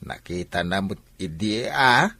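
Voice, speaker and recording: Bright, husky-voiced stubborn country father acted by a man. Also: low-pitched, lively intonation, measured pace, no room sound, exaggerated voice. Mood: casual, explaining. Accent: Ilocano. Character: teasing, stubborn rural father arranging his daughter's marriage. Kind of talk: Ilocano radio drama acting.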